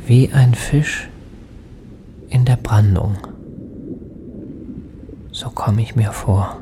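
Haunted man in a radio play, super slow halting hushed poetic monologue, storm and rain behind him. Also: mid-range voice, background noise. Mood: adrift, troubled. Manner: halting, hushed, dreamlike delivery in short fragments. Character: haunted man recalling a strange stormy night. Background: rain and thunderstorm.

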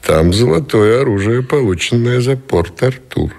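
An actor playing a grave, courtly middle-aged Russian admiral in love, in measured, tender radio drama style. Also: male voice, medium pitch, lively intonation, creaky, a little mellow. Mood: calm, warm.

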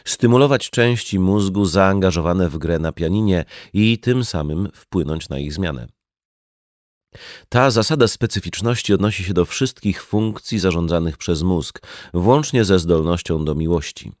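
Low-voiced man narrating an audiobook. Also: unhurried, darkish tone, dry recording, clean studio sound. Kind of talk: scripted nonfiction audiobook narration.

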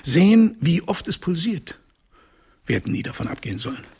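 An actor playing a callous doctor in a radio drama, speaking with clinical, matter-of-fact relish in a husky voice. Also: male voice, on the high side, big pitch swings, brisk, a little mellow, full character voice. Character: callous male doctor fondly describing brain experiments on apes.

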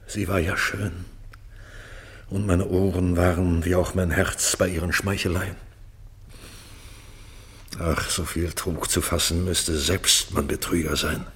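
A proud old king in a classical radio play speaks slowly in a low voice. He declaims verse with solemn weight, grieving yet commanding. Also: flat delivery. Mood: grieving, self-reproachful. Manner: declamatory, solemn, breaking into hushed tones.

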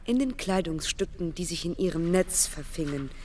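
A woman acting a formal, effusive interviewer in a radio drama, encouraging and smoothly steering the talk. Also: lowish voice, expressive, moderate pace, a little mellow, recorded from a distance, a little hiss or hum, close to an everyday voice. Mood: businesslike.

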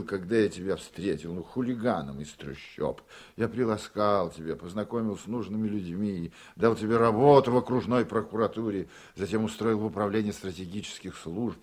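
Old senator in a radio drama, low husky voice, proud n needy, draws his words out. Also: a little mellow, exaggerated voice. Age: older. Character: proud aging senator, needy, wheedling, quick to fury. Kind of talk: Russian radio drama acting.

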